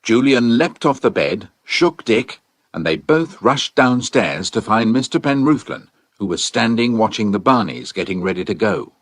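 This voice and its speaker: A man narrating a storybook in an expressive, dramatic way. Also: fairly low pitch, moderate pace, no room sound.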